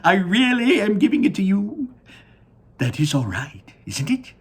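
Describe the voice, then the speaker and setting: Eccentric elderly male candy maker for a storybook, man acting: high, husky, excitable, drawn-out emphasis. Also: exaggerated voice. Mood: delighted. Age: older.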